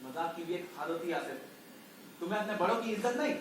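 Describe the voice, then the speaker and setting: A man's voice: sits high, lively intonation, fast, noisy background. A man playing an easily offended senior circus clown in an amateur stage play. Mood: indignant, scolding. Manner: scolding, admonishing. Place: theatre stage, far from the microphone. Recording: echoey hall, far mic, music underneath.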